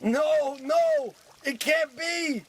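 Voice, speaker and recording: A man's voice: very high voice, wildly swooping pitch, extremely slow, vocal fry, heavily acted. A man playing a hapless small-time crook, a self-pitying tough guy, with melodramatic wisecracks in a parody of old radio drama. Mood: anguished.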